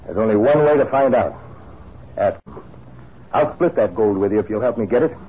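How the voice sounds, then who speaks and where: An actor playing a hard-bitten, scheming escaped convict in a 1950s radio western. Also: male voice, lowish voice, expressive, fairly quick, lightly acted. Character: ruthless male escaped convict, scheming gold hunter. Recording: tinny, hissy old radio recording.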